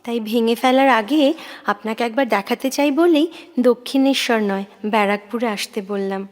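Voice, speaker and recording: A woman acting an intense, moody younger sister in a Bengali audio drama, an ardent reader speaking in halting, fervent repetitions. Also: fairly high pitch, lightly acted. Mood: eager. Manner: breathless, halting, repeats words with fervour.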